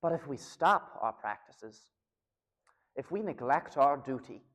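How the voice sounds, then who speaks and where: A man playing a halting, formal government research fellow with dry understatement, explaining himself in an analog horror archival interview. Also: medium pitch, expressive, fairly bright tone. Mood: wry, uneasy. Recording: echoey hall with long reverb.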